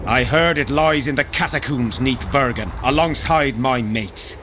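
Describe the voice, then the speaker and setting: A gruff, embittered old campaigner from a fantasy video game, played by a male actor. He recounts war grimly and coarsely at a middling pitch. Steady noise sits clearly behind his voice. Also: a little slow, natural voice. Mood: bitter. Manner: grim, coarse-tongued storytelling. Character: embittered veteran soldier, gruff survivor of a lost regiment. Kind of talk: dramatic video game acting.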